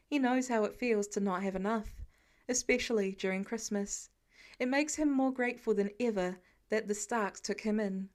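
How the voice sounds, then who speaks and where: A woman narrates a piece of fiction as an audiobook reader. Her voice sits a little higher than most women's. The delivery is scripted storytelling, read aloud line by line.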